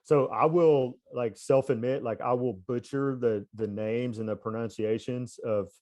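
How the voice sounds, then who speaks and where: A man's voice: medium pitch, fairly even intonation, unhurried, warm tone. A man in his thirties with a mild Texas accent, chatting as a guest and rambling with fillers and restarts. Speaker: guest, baseball coach. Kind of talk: casual conversation.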